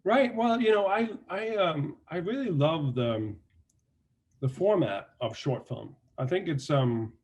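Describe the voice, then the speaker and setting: A man from Denmark with a Danish accent, a guest actor telling stories in a relaxed interview, often restarting and filling his sentences. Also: fairly high pitch, a little slow. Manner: relaxed storytelling with many restarts and fillers. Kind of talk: casual interview talk.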